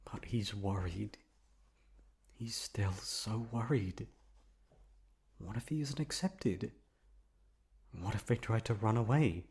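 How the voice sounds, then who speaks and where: A man voicing an obsessive, jealous, lovesick young man in an intimate ASMR roleplay, soft-spoken, wounded and menacing. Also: on the low side, breathy edge, heavily acted.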